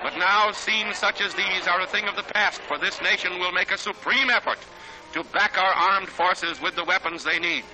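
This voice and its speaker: A man's voice: sits high, normal speed, very noisy. An American man narrating a 1940s newsreel in a dramatic, declamatory manner. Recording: 1940s newsreel soundtrack with loud music.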